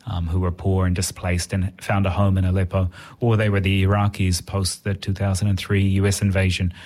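A man with a New Zealand accent, an interviewed writer talking reflectively in a conversational style. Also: deep voice.

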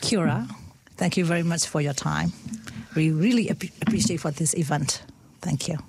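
A middle-aged woman from Myanmar with a Burmese accent, speaking careful, halting English as a radio interview guest. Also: deep voice, vocal fry, darkish tone, husky.

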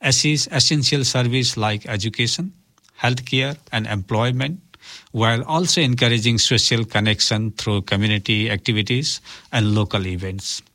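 Male interview guest, native Nepali speaker, mid-pitched voice, earnest and formal delivery. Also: normal speed, sharp tone. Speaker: interview guest, community worker and officiant for migrants and refugees.

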